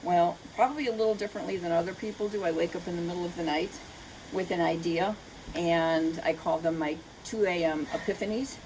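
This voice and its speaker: A woman's voice: on the low side, fairly even intonation, a little fast, noisy background. An older woman, a children's author, giving earnest, unscripted, explanatory answers in an interview.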